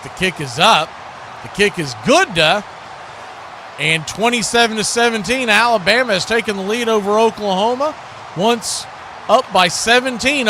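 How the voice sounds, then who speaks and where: Male play-by-play host, somewhat high voice, switching between game calls and casual chat, clear background noise. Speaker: radio host calling play-by-play. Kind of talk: sports play-by-play commentary mixed with casual chat.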